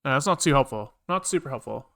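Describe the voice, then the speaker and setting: A man's voice: medium pitch, lively intonation, fast talker, creaky, sharp tone, dry recording. Man muttering through code, dry close sound. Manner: muttering, casually sweary, reading code aloud in fragments. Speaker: programming livestreamer. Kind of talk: thinking aloud while coding on a livestream.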